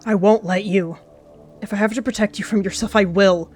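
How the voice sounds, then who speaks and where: A woman's voice: mid-range voice, fairly even intonation, brisk, darkish tone, faint music behind, pitched above the performer's own voice, lightly acted. A woman voicing a grim, possessive evil she-cat warrior, talking with pleading yet menacing intensity. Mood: fierce. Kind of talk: voice-acted roleplay dialogue.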